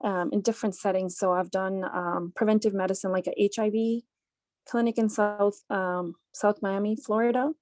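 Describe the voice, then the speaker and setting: A woman from New Orleans answers practice interview questions at a middle pitch. She hesitates often and fills pauses as she works out her points, and the recording is dry and close. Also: steady tone, darkish tone, no room sound. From born in Pakistan, grew up in New Orleans. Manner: hesitant, many ums. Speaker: medical graduate interviewee.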